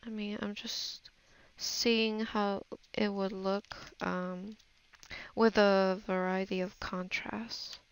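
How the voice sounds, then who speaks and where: Slow, creaky-voiced woman musing aloud. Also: medium pitch, flat delivery. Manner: musing, hesitant, talking half to herself. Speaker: digital artist giving a tutorial. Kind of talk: casual think-aloud art tutorial voice-over.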